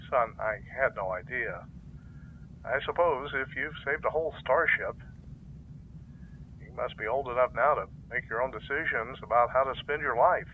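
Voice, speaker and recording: A stern commodore with a bright, forceful voice , voiced by a man. He sounds gruff and commanding, a fatherly officer in a fan audio drama, with a faint hiss behind him. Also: medium pitch, close to an everyday voice, rough recording. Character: stern middle-aged male fleet commodore, a father.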